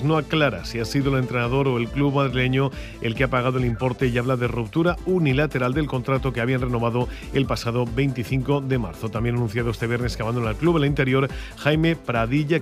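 A male radio news presenter reading headlines and sports news in a formal, crisp manner. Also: medium pitch. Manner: crisp, formal bulletin delivery.

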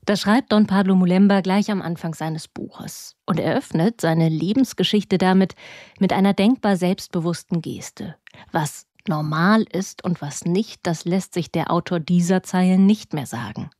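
A woman's voice: on the low side, unhurried, darkish tone, dry recording, studio quality. A woman reading a scripted book review as a radio reporter. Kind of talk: scripted narration, literary review.

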